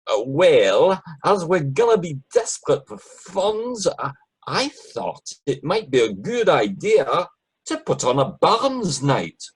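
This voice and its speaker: Casting: actor as canny, jovial Scot for radio comedy, Scottish accent, husky, halting and teasing. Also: male voice, a little high, sing-song, exaggerated voice, low-quality recording. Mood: eager, scheming. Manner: hesitant, teasing, folksy. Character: jovial, canny old-fashioned Scotsman in a kilt. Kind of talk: amateur radio play acting.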